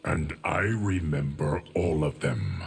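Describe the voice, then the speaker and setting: An actor playing a grave, weary and dignified inhuman male warrior in a video game, gravelly and measured. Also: rumbling low, heavily acted. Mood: solemn. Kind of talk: dramatic video game dialogue.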